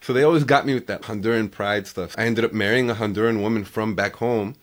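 A man's voice: fairly low pitch, quick pace. A man hosting a podcast, chatting casually and telling stories in an upbeat way. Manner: upbeat storyteller. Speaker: podcast host. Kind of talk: casual conversation.

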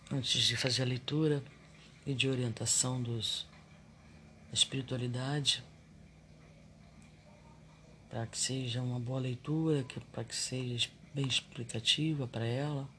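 A man's voice: mid-range voice, a little slow, long pauses, slight background noise. A man giving a reflective, confessional monologue on spirituality and daily life. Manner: reflective and confessional, animated when irritated.

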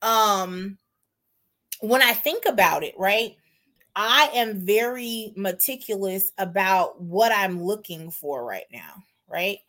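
A woman's voice: mid-range voice, lively intonation, slow pace, pushed and bright. A woman in her forties, self-assured, talking casually about her own dating life. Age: 40s. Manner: self-assured, talks through her own story with many "right?"s. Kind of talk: casual podcast chat.

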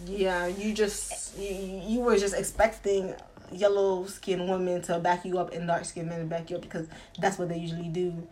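A woman's voice: on the low side, level tone, moderate pace, a little mellow, slight background noise. A woman in a casual, opinionated chat, talking emphatically and conversationally with plenty of slang. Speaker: co-host or panelist.

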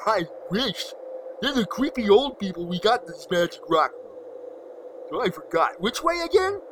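A man's voice: sits high, very animated, moderate pace, noisy background. A man playing a bumbling, easily confused young slacker in a comedic audio drama, slangy and stammering. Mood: defensive.

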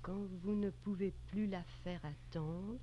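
An actress playing an aloof, curt French doctor's wife with a French accent in a 1940s radio drama. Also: female voice, mid-range voice, steady tone, measured pace, natural voice. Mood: murmured reading. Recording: dull, noisy old radio recording.

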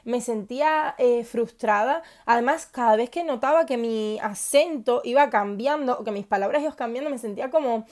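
A woman from Cuba hosting her own podcast, telling her personal story in a confiding, enthusiastic way with many pauses and fillers. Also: a little high, a little mellow. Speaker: podcast host.

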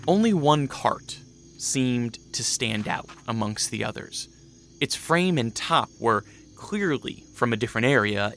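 Gravelly male radio drama narrator. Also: medium pitch, a bit bright, a little hiss or hum.

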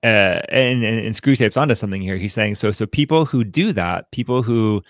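Male podcast co-host, low register, reflective and halting book discussion, very dry recording. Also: dry recording. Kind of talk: conversational book commentary.

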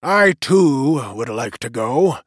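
A man's voice: medium pitch, animated, slow pace, slightly rough, no room sound, studio quality. A man voicing a one-eyed male veteran who speaks in a blunt, stubborn, emphatic way.

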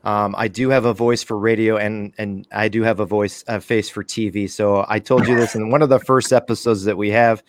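A man's voice: lowish voice, steady tone, brisk, few pauses, no echo. Male podcast host, playful and self-deprecating announcer patter, close and dry. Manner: jokey, self-mocking, chatty announcer patter. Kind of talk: casual podcast chat.

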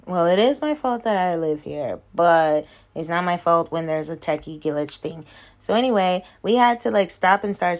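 Upbeat, informal woman hosting a podcast. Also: on the low side. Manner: informal, enthusiastic, frequent y'all. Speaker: podcast host. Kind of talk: casual podcast chat.

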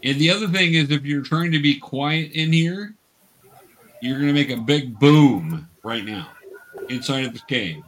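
A man's voice: mid-range voice, animated, measured pace, fairly bright tone. Patient man guiding a tabletop game. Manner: patient, explanatory teaching. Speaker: game master.

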